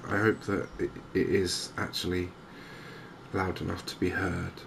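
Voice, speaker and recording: A man softly narrating a game in ASMR style, hushed and hesitantly thinking aloud. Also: low-pitched, level tone, measured pace, creaky. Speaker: gaming video host. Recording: noticeable background ambience noise. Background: game ambience.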